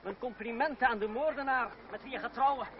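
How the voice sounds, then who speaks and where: An actor playing a proud, idealistic young gentleman turned stage player in an old radio drama, earnest and pointed. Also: extremely high pitch, fast. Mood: indignant. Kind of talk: old-fashioned Dutch radio drama acting. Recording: noisy, muffled old radio play recording.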